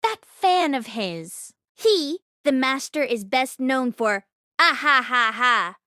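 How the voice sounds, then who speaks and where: A woman voicing an animated male storyteller who declaims local legends in an audiobook. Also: high-pitched, slow pace, clean tone, dry recording, clean studio sound, exaggerated voice. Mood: struggling to continue.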